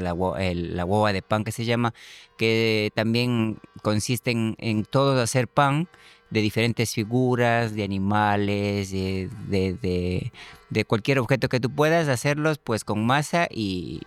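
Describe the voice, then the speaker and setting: A man's voice: on the low side, clear, resonant tone, no room sound. A middle-aged man giving hesitant, unscripted interview answers, searching for words. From Ecuador. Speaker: interview guest.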